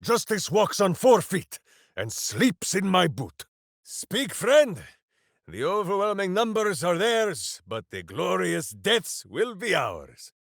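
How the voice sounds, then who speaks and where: An actor playing a big-hearted, simple-minded berserker warrior in a video game, grandiose and earnest. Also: male voice, sits high, sing-song, slow, creaky, a bit bright, no echo, studio quality, full character voice. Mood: hearty, earnest. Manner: grandiose, speaks of himself in third person. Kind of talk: fantasy video game voice acting.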